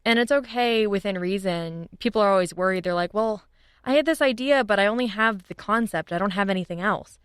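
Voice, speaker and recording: A woman's voice: medium pitch, a little fast, clear, resonant tone, no echo. A woman chatting casually and enthusiastically as an interview guest, informal and upbeat. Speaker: guest, audio drama writers' room leader.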